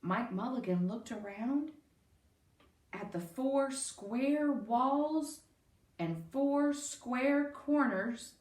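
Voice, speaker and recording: A woman reading a children's picture book aloud in an expressive, emphatic way. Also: high voice, very slow. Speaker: storyteller. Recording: roomy, distant microphone.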